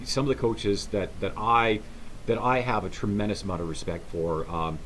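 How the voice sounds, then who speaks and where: Male host, 50s, chatty and halting with restarts, faint hiss under the voice. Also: lowish voice, fairly even intonation, fairly bright tone, poor audio. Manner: rambling, halting with many restarts. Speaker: talk show host. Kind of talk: casual interview chat.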